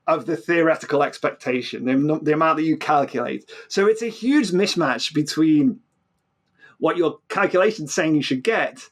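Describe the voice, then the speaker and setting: Male interview guest, slightly high voice, conversational explainer who stammers over his starts. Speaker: physicist guest. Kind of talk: animated explanatory interview talk.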